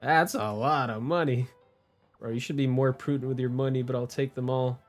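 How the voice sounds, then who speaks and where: A man's voice: mid-range voice, steady tone, normal speed. Playful young male game streamer. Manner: playful, slangy, joking. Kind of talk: casual live game commentary, reading dialogue aloud. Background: game background music.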